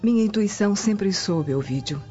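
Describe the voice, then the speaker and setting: A woman acts a thoughtful Roman wife in a Brazilian radio drama, gentle and perceptive. Her voice is medium in pitch, slightly dull in the recording, with soft music underneath. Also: animated, moderate pace, natural voice. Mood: knowing.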